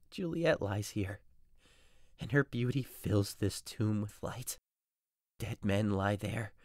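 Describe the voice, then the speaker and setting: A dry, closely recorded voice of a desperate young husband played by a male actor in an audio drama of modernized Shakespeare. He is intense and grief-stricken, moving between hushed menace and anguished outcry at a medium pitch. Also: dry recording, lightly acted. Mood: hushed, sorrowful.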